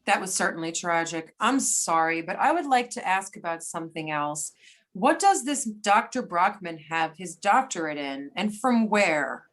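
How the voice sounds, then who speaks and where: A woman's voice: lowish voice, animated, moderate pace, a bit bright. A woman playing a polite but probing federal agent in an amateur readers theater reading.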